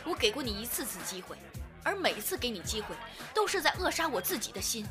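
A woman's voice: on the high side, big pitch swings, fast. A woman acting a devoted young lover, firm yet tender, in a modern Chinese audio drama, with music underneath. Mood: hurt, resolute.

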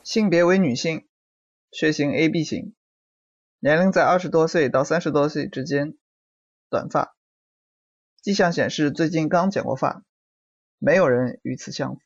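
An actor playing a dutiful junior police officer in an audio drama, reporting in a formal, businesslike way. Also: male voice, fairly high pitch, moderate pace, long pauses, no echo, highs cut off. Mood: formal, earnest.

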